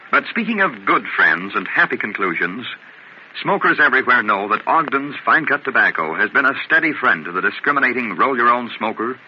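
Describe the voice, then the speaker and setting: A man announcing in a 1940s radio style, delivering scripted narration and sponsor copy in a formal, emphatic, polished manner. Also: medium pitch. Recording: muffled, noisy old radio broadcast recording.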